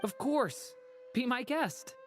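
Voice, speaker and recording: A woman voicing a gentle, innocent, boyish young dragon in a video game, earnest and soft-hearted. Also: sits high, animated, slow pace, faint music behind. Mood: friendly. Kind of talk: animated game dialogue.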